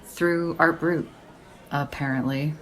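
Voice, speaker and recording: An actress playing a sardonic, deadpan young woman in casual audio drama banter, with a gravelly creak and a dry, teasing delivery. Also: deep voice, takes it slowly, low-quality recording. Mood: dry, matter-of-fact. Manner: dry, teasing, slangy, unimpressed. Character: deadpan, sardonic young woman who loves horror paperbacks.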